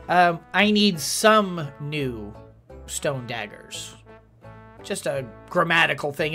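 A man's voice: sits high, pushed and bright. A man in his forties giving chatty, joking let's-play commentary from a home gaming room. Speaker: gaming streamer.

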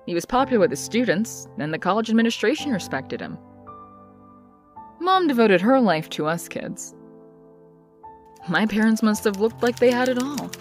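A young woman played by an actress opens up about her past with lively, swooping intonation. She is reflective and confiding, in dramatic comic-dub style, with music underneath. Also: on the high side, moderate pace, pauses often.

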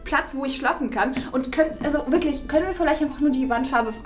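Young woman acting a gloomy teen goth girl, high voice, halting complaints, music behind. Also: lightly acted. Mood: exasperated. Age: young adult. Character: gloomy, fussy teenage goth schoolgirl who hates color. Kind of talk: improvised acted web series.

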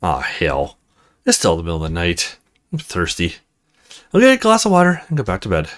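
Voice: sits low, wildly swooping pitch, a little fast, voice pushed down. A performer reading a visual novel as a weary, wry young man grumbling through his private thoughts. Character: young male roommate, wry, weary, inner monologue. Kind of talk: visual novel read aloud.

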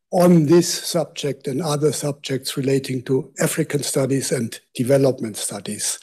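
A man's voice: on the high side, a little mellow, slightly rough. An older man with a German accent, giving a public lecture from a lectern microphone in a university lecture hall, speaking conversationally with self-deprecating asides. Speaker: guest lecturer.

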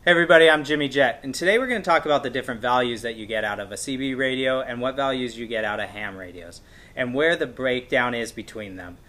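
A man's voice: mid-range voice, fast talker, sharp tone, slight background noise. An American man in his thirties, speaking casually as a host introducing things and chatting with a guest. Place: indoors on a couch in a workshop room.

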